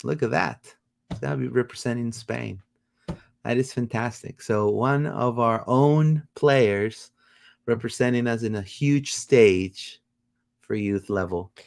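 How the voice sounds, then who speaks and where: A man hosting a casual soccer livestream, rambling with many fillers and bursts of excitement. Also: medium pitch, unhurried, creaky. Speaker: podcast host.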